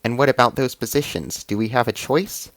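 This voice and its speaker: A man's voice: mid-range voice, brisk, fairly bright tone, dry recording, pitched above the performer's own voice, lightly acted. A man voicing a grim, suspicious alien military councillor in a story, pressing his argument. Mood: questioning.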